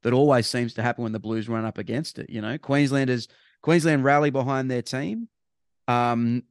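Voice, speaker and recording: A man's voice: mid-range voice, normal speed, clean tone, no room sound, clean studio sound. A man with an Australian accent chatting casually as a podcast co-host, rambling and restarting his phrases, with bursts of emphasis. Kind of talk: casual sports chat.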